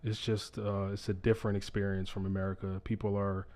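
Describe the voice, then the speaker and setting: A man in his twenties from upstate New York, answering interview questions in a calm, low-key way. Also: brisk. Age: 20s. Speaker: guest.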